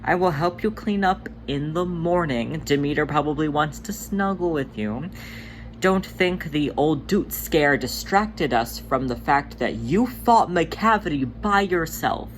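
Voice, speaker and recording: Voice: mid-range voice, animated, a little slow, slight background noise, pitched above the performer's own voice, lightly acted, poor audio. A performer voicing a laid-back, protective male cat who teases and reassures his brother, in a storybook fan reading. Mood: concerned, firm.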